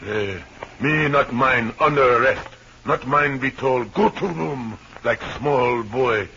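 An actor playing a loyal, plain-spoken sidekick in a radio drama, talking bluntly in simple broken English. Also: male voice, medium pitch, slow pace, gravelly, bright, forceful tone, exaggerated voice. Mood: grumbling. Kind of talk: old-time radio drama acting. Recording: muffled, noisy old radio recording.

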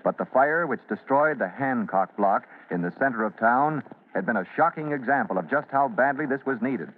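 A man's voice: mid-range voice, animated, normal speed. Tinny vintage radio announcer, emphatic man. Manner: emphatic, declamatory. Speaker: announcer and narrator. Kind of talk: dramatic scripted old-time radio narration. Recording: tinny, faintly noisy vintage radio recording.

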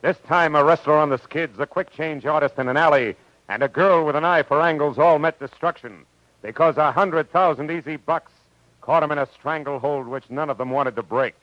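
An actor playing a hard-boiled private detective in 1940s radio style, with an American accent and a grave, sardonic, clipped delivery. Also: male voice, on the high side, moderate pace, dry recording, close to an everyday voice. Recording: noisy, muffled 1940s radio recording.

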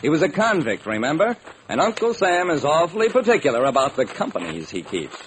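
A man's voice: a little high, wildly swooping pitch, fairly quick. A man acting as a hard-boiled sleuth narrating his case in an old-time radio crime drama, clipped and wry. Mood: wry, probing. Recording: noisy vintage radio recording.

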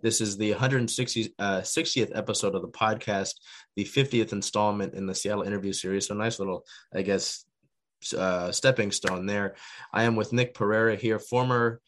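A man with a low-pitched voice hosts a sports interview podcast. He circles around his questions, piling on fillers and restarts before he gets to the point. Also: fairly even intonation, moderate pace. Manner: rambling questions with many fillers. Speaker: podcast host.

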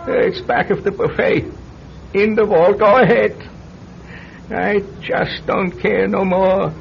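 High, creaky old recluse. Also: male voice, fairly even intonation, slow pace, exaggerated voice. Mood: resigned. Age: older. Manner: muttering, rambling, broken phrases. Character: paranoid, bitter old male recluse hoarding hidden money. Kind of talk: old-time radio drama acting. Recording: dull, noisy old radio recording.

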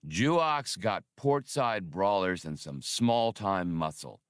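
A cocky space pilot and gunslinger, played by a male audiobook reader, blunt and sure of himself. His clipped speech swings lively in pitch, recorded dry and close. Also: takes it slowly, clean tone, dry recording. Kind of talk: audiobook character voice.

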